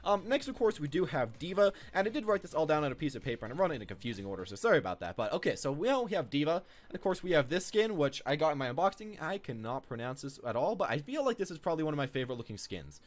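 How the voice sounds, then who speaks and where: A man's voice: a little high, sing-song, fast talker, hardly any pauses. A man giving casual, unscripted, rambling commentary as the host of a gaming video. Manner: rambling, informal.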